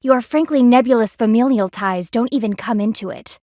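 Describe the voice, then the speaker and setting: A woman's voice: fairly high pitch, normal speed, dark tone, no room sound, studio quality, close to an everyday voice. A woman voicing a stern, haughty panda army lieutenant in a video game, speaking in a formal, clipped, dryly disdainful way.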